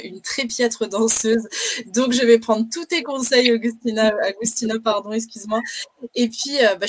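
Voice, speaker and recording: A woman's voice: a little high, slight background noise. A woman hosting a live careers stream, chatty and bubbly with many fillers.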